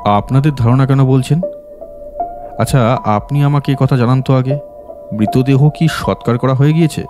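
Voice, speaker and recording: A man's voice: mid-range voice, moderate pace, close to an everyday voice. A man playing a stern, curt detective in an audio drama, speaking in a firm, probing, commanding manner. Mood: probing, impatient. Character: stern, curt, self-possessed male detective. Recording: music behind the voice. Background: music.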